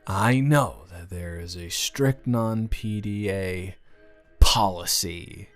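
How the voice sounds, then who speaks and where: A man playing an earnest, nervous teenage boy in love, speaking in a halting, stammering, rambling way in an intimate romantic audio roleplay. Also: sits low. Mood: tender, relieved. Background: music.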